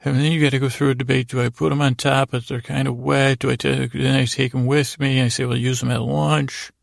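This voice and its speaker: Male sleep-podcast host: flat, creaky delivery, meandering and halting bedtime monologue. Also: medium pitch, dry recording, studio quality. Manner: meandering, halting, easily distracted. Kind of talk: rambling bedtime monologue to help listeners fall asleep.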